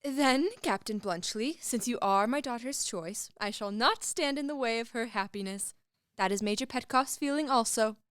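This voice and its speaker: A woman's voice: fairly high pitch, moderate pace, fairly bright tone, smooth, no echo, studio quality, natural voice. A woman playing a proud, formal middle-aged aristocratic mother in a radio comedy, haughty in her delivery. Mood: formally gracious. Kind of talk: stage-comedy radio play acting.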